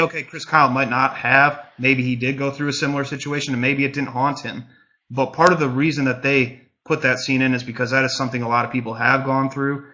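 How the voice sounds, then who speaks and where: A man's voice: medium pitch, sharp tone. A man on a casual panel, making an earnest, rambling point with many restarts. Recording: dull lo-fi sound.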